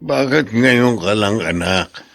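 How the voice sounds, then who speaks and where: Weary, creaky-voiced ailing old father. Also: fairly low pitch, animated, a bit bright, full character voice. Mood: worried, weary. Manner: weary, halting, tender. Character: ailing, worried, gentle elderly father. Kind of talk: radio drama acting.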